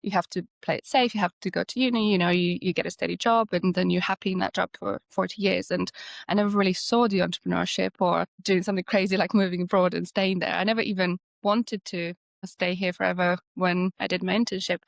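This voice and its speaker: A woman's voice: mid-range voice, vocal fry, no room sound. A woman in her thirties from Slovenia, chatting as an interview guest, rambling with frequent restarts. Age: 30s. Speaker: architect, guest. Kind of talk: conversational interview.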